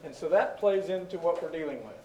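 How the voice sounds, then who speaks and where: A man's voice: on the high side, lively intonation, brisk, darkish tone, a little hiss or hum. A male teacher explaining a history lesson and asking his class questions. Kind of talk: classroom lecture. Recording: echoey classroom, far from the mic.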